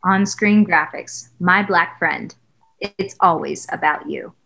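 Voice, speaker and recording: A woman's voice: lowish voice, slow pace, vocal fry, bright, forceful tone, rough recording. A woman reading screenplay stage directions as scripted narration.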